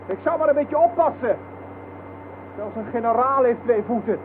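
An actor playing a menacing, cynical soldier in a radio play, taunting and offhand by turns. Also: male voice, very high-pitched, normal speed. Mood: threatening, taunting. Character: menacing, cynical male soldier interrogating his superior. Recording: noisy, thin phone-like old radio recording.

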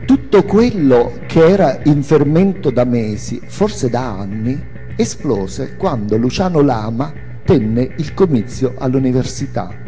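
A man's voice: mid-range voice, expressive, a little slow, mellow. A man reading an autobiographical memoir as a theatrical narration. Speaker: author-performer reading a memoir. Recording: long hall reverb, music behind. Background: music.

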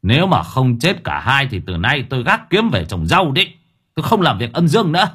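A man's voice: sits low, sing-song, moderate pace, fairly bright tone, husky, voice pushed down, full character voice. A man voicing a brash exorcist master who scolds, gloats and barks. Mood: angry scolding.

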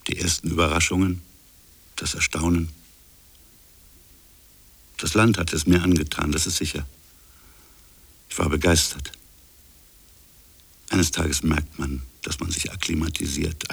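A brooding colonial official in an introspective radio play. His voice is very low and creaky, with a husky grain. He muses haltingly, in broken phrases, as if searching his memory. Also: flat delivery, no echo, heavily acted. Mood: reflective, searching. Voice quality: gravelly, husky. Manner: halting, musing, fragmented phrases. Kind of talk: introspective radio drama monologue.

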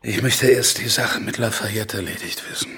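An actor playing a blunt, swaggering, shrewd revolutionary leader with a gravelly voice in a radio drama. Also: male voice, on the low side, normal speed, fairly bright tone, a little hiss or hum. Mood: brooding. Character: brash, forceful male revolutionary leader, shrewd and earthy. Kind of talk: naturalistic radio drama acting.

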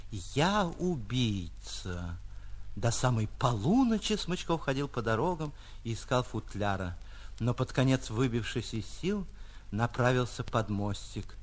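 A man, an actor reading a literary short story in an expressive, theatrical narrating style. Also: mid-range voice, normal speed. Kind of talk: dramatic literary narration with acted dialogue.

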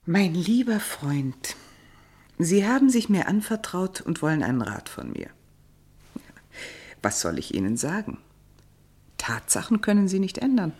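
An actress playing a worldly, wry confidante who speaks with knowing formality in a radio drama. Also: female voice, lowish voice, very animated, measured pace, long pauses, a little mellow, slightly rough, full character voice. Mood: sympathetic, frank. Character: worldly, wry female confidante giving frank advice. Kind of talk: German radio drama acting.

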